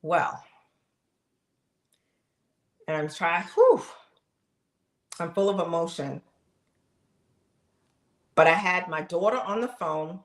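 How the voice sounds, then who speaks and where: A middle-aged woman with a fairly low voice speaks straight to her viewers on a live stream, animated and chatty. The sound rings off the walls of an echoey room. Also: takes it slowly, pauses often. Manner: animated, talks straight to the audience, exclaims, laughs. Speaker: live streamer sharing her health journey. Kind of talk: casual testimony to viewers.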